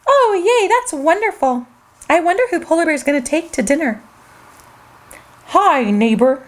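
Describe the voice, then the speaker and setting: A woman's voice: very high-pitched, big pitch swings, brisk. A woman hosting a children's show, reading a storybook aloud in a sing-song way and talking straight to the kids.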